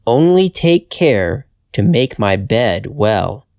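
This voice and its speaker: Male reader as gentle old fairytale woman, unhurried, kindly, lilting pitch, dry sound. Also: sing-song, creaky, dry recording, full character voice. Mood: kindly, instructing.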